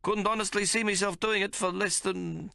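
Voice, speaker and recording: A man's voice: fairly even intonation, moderate pace, creaky, bright, forceful tone, smooth, no echo, clean studio sound, exaggerated voice. A man voicing a sly, haggling little male tailor with a whiny, pleading manner in an audiobook. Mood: wheedling. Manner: whiny, pleading, high-pitched. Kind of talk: audiobook character voice.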